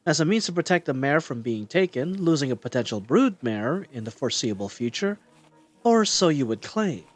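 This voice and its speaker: Animated, shrewd young fantasy hero played by a man. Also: a little high, moderate pace. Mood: confident, challenging. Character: shrewd, bold young man posing as farmhand. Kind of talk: audio roleplay acting.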